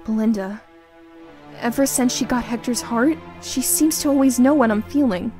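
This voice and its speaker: Weary young queenly ruler, acted by a woman, unsure, dark mellow tone, slightly high, visual novel dialogue. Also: normal speed, soft background music, natural voice.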